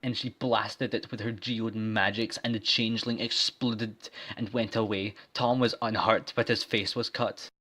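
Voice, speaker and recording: A man reading a story as a deliberately bad parody narration, garbling and mispronouncing words on purpose. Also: on the low side, little pitch movement, pushed and bright. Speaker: fan fiction reader.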